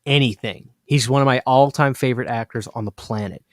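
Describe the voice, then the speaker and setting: Man, live stream host, enthusiastic, emphatic, slightly hoarse, dry close mic. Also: medium pitch, brisk, no echo. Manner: enthusiastic, emphatic, repeats catchphrases. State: losing his voice. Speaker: horror channel host. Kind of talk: casual live stream chat.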